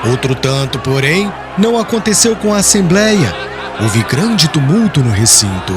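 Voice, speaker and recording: A man's voice: on the high side, big pitch swings, normal speed, crowd noise. A man narrating an audiobook in a solemn, formal storytelling manner. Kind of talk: scripted literary narration. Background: music.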